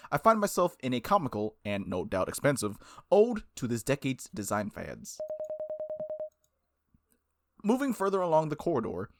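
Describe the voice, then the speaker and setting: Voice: mid-range voice, dry recording, studio quality. A gaming video host with an informal, playful way of talking, commenting casually and reading game text aloud. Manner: informal, giggly, frequent "like", playful asides. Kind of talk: casual gameplay commentary with read-aloud visual novel text.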